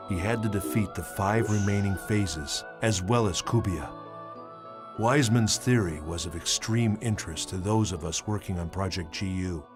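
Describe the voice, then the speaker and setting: A man acting as a sober male researcher delivers scripted game narration in a low voice. He explains events in a measured, expository way, with music clearly playing behind him. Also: lightly acted.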